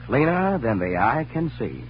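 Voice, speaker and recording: A man's voice: medium pitch, very animated, unhurried. A man reading a scripted testimonial in a 1940s radio advertisement style. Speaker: commercial voice. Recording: muffled, noisy old radio recording with hum.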